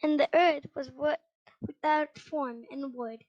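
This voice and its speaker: A female reader with a high voice reading a children's Bible story aloud, halting now and then over words. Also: fairly even intonation, darkish tone, dull audio.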